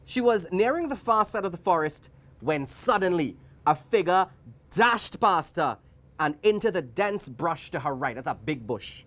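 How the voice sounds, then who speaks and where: A woman's voice: fairly low pitch, expressive, moderate pace. A woman with a Trinidadian accent reading a storybook aloud to children, theatrical and playful, with chatty asides. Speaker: guest story reader for children.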